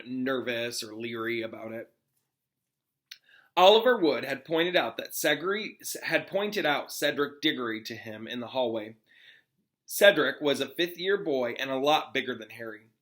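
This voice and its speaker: A man's voice: medium pitch, a bit bright. A man who is a classroom teacher, reading a storybook aloud expressively with casual, informal asides to pupils.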